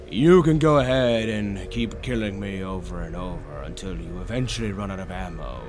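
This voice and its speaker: A man voicing a suave, theatrical demonic bird tempter in a roleplay audio, drawling with mockingly polite, condescending villainy. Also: sits low. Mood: irritated, smug. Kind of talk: scripted character roleplay audio.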